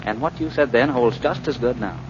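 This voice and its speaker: An American actor playing a brash, scheming young playboy in a 1940s radio comedy. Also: male voice, mid-range voice, fairly quick, lightly acted. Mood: smooth, persuasive. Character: brash, fast-talking young playboy fiance, cocky and scheming. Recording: noisy, dull 1940s radio recording.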